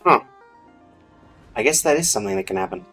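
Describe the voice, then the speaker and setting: A man's voice: on the low side, animated, brisk, a bit bright. Man thinking aloud over game music. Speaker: game streamer. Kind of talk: casual gameplay commentary. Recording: music behind the voice.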